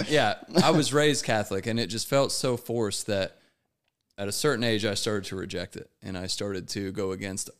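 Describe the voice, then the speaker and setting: A man's voice: lowish voice, normal speed, a bit bright, clear, resonant tone. A man who is a guest on a casual podcast, speaking candidly and earnestly about his own life. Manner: candid and earnest, talks openly about himself.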